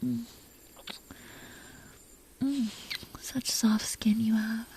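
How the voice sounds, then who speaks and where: A woman playing a possessive, proud but secretly tender dragon queen in an intimate roleplay audio drama, breathy and teasing. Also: fairly high pitch, fairly even intonation, extremely slow, a little mellow, slight background noise. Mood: seductive whisper. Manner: breathy, teasing, haughty, lingering delivery.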